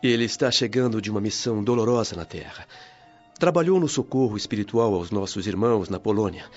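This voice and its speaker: Radio drama companion, Brazilian: modest, respectful, sincere man, lower-middle pitch, dulled recording, music bed. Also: lively intonation, fairly quick. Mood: respectful, earnest. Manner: courteous, sincere. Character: friendly, modest, respectful male guide. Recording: dull band-limited recording, music behind.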